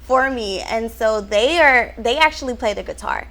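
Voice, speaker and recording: Young woman from houston talking it out, upbeat, bright voice, kinda high, lots of ums. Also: animated, normal speed. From Houston, Texas. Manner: upbeat, thinks aloud with many ums. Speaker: rapper and songwriter guest. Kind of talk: casual interview chat.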